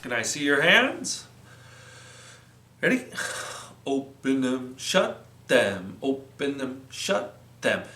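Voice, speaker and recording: A man's voice: mid-range voice, sing-song, slow, long pauses, pushed and bright. A man hosting storytime for young children, encouraging and playful, giving simple, repeated instructions. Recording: roomy, echoing sound.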